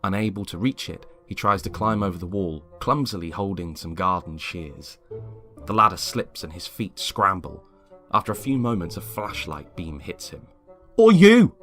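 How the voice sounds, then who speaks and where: A man with a very low voice narrates a dramatic scripted story. He reads as a storyteller, with music playing softly behind him. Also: moderate pace. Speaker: story reader.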